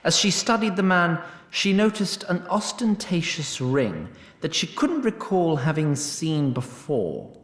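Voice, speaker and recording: A man's voice: on the high side, big pitch swings, a little slow, reverberant. A man narrating a scripted fantasy story in a wry, literary manner.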